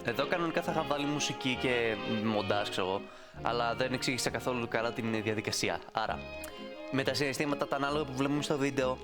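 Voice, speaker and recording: A man giving a casual, rambling, playful screen-recorded tutorial. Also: medium pitch.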